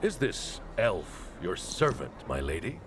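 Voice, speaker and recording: Cowed guard, swooping pitch. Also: male voice, big pitch swings, a little slow. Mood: flustered, deferential. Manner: deferential, flustered. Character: officious, easily cowed low-ranking male guard. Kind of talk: fantasy game acting. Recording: noisy outdoor ambience. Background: clear outdoor ambient noise.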